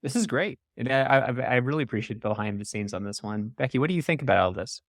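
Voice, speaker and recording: A man's voice: mid-range voice, expressive, fast, no room sound, studio quality. A man hosting a casual livestream in an affirming, encouraging manner. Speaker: host.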